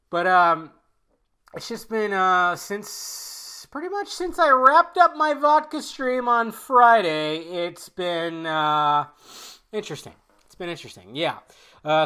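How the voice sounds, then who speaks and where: A man with a bright, forward voice and a slightly high pitch rambles through a casual podcast chat. He sounds nasal and stuffy from a cold, and he keeps circling back with fillers and restarts. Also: smooth. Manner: loose and chatty, many fillers and restarts. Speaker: podcast host.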